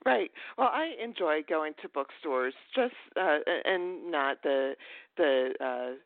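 A man with a fairly high voice hosts a casual chat over a tinny, dry phone line. He rambles hesitantly as he feels out each thought. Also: animated, slow pace, dry recording. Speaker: podcast host, interviewer. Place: phone call.

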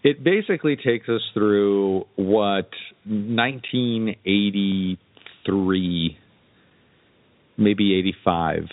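A man's voice: lowish voice, extremely slow, no room sound, phone-quality sound. A man hosting a podcast in a casual interview style, asking thoughtful, rambling questions. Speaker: podcast host.

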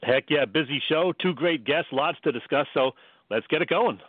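A man's voice: on the high side, normal speed, breathy edge, dry recording, phone-quality sound. A man co-hosting a sports radio show in a conversational style, setting up questions for a guest.

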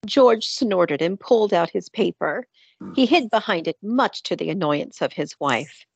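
A woman's voice: lowish voice, lively intonation, measured pace, vocal fry, husky, dry recording, lo-fi sound. A woman reading scripted narration for a radio play.

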